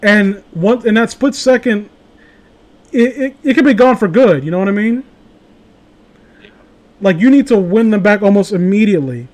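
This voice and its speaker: A man's voice: high-pitched, expressive, normal speed, long pauses, a little mellow. A man hosting a casual show, reading amateur horror stories aloud and breaking off into rambling, opinionated, profane commentary.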